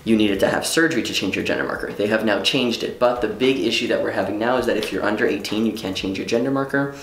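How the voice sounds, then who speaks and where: A man's voice: fairly low pitch, quick pace, roomy sound, slight background noise, poor audio. A young man from Quebec whose first language is French, chatting casually in a rambling, self-interrupting vlog with some swearing. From Quebec, Canada. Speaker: vlogger. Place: room at home.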